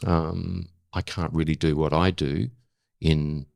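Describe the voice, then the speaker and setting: An Australian man of seventy talking reflectively in an interview, in an unhurried, halting storytelling way with emphatic repetition. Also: rumbling low, little pitch movement, creaky, a little mellow, dry recording, clean studio sound. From South Australia. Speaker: interview guest, former broadcaster.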